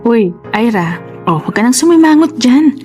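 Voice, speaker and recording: A woman's voice: on the high side, sing-song, moderate pace, a little mellow, faint music behind. A woman playing a confident, supportive older sister and business partner in a Filipino radio drama, conversational and encouraging. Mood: reassuring, concerned. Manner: encouraging, conversational, English-peppered.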